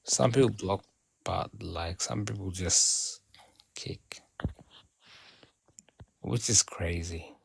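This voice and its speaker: A man's voice: low-pitched, slow, creaky, pauses often, breathy edge. A man from South Sudan chatting casually on a live audio stream, rambling and restarting his phrases.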